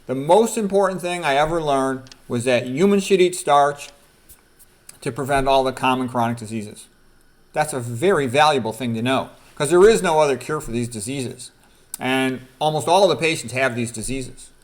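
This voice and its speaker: A man's voice: medium pitch, animated, moderate pace. A middle-aged or older man, a doctor, reading quotes aloud with informal commentary and repeating key phrases for emphasis. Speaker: doctor presenting quotes from a book.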